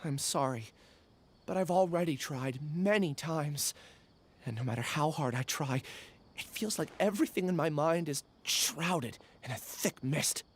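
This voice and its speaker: An actor playing a troubled, polite young amnesiac in a video game, hesitant, apologetic and earnest. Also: male voice, fairly high pitch, lively intonation, unhurried, slight background noise. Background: game music.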